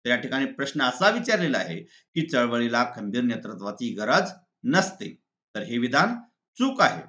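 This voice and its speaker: Maharashtrian guy teacher going thru exam answers point by point, bright forceful voice, bit dull lo-fi audio. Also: medium pitch, big pitch swings. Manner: deliberate, didactic, enumerating point by point. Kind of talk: exam answer walkthrough, lesson read aloud. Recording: slightly dull lo-fi recording.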